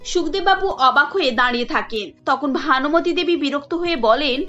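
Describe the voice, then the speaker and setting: A woman narrating a cartoon story, with lively delivery. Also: high-pitched, brisk, lo-fi sound, faint music behind. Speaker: narrator and voice actor.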